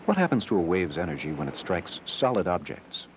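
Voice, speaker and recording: A man narrating an educational film in a measured, explanatory manner, reading scripted short, simple sentences. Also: low-pitched, very animated, noisy background. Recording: old VHS educational film soundtrack. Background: music.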